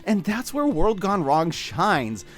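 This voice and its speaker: A man narrating a scripted review video as its host, in a casual, wry and expressive way. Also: high voice, slow pace. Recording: background music under the voice. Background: background music.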